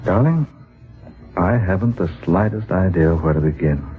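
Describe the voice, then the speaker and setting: A man's voice: deep voice, lively intonation, moderate pace, phone-quality sound. An actor with an American accent playing an earnest, wry writer and devoted husband telling of his quest, in 1940s radio drama style. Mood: pondering. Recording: band-limited 1940s radio broadcast with music.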